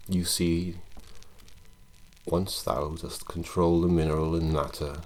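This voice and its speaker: A man with a Welsh accent playing a sinister, pompous Elizabethan alchemist in a hushed, deliberate ASMR roleplay. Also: deep voice. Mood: solemn, commanding. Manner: archaic, pompous, deliberate phrasing. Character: wretched, sinister Elizabethan male alchemist, grandly mystical. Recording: light steady electrical background noise. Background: liquid sounds and clicks.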